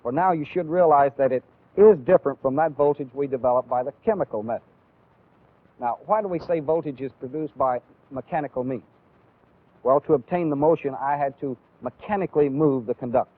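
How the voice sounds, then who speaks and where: An American man giving a methodical, deliberate instructional lecture as a training film instructor. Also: medium pitch. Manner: deliberate, methodical, clearly explanatory. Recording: phone-like, band-limited old training film audio.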